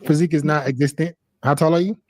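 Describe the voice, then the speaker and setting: A man questions someone bluntly and hands out critical advice. His casual voice sits a bit high and is recorded very dry. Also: steady tone, fast talker, a little mellow, no room sound. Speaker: advice-giving interviewer.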